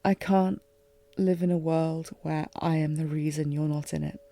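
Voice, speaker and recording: A woman playing a lovesick warrior queen, regal yet fragile, in an intimate fantasy audio drama with formal, emotive, halting delivery. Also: low-pitched, fairly even intonation, mellow. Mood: sorrowful, resigned. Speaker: audio roleplay performer.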